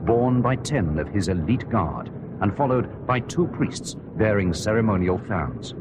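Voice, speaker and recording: A man plays a solemn court reporter in a radio drama, commentating live on a royal ceremony. His voice is low and his delivery measured and reverent. Clear ambient noise runs behind him. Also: expressive. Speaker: outside-broadcast commentator.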